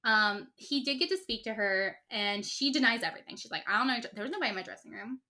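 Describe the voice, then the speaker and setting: Bright, high chatty woman. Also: expressive, fast talker. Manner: rambling, many fillers, acts out quotes. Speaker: podcast co-host. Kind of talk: casual chat retelling a story.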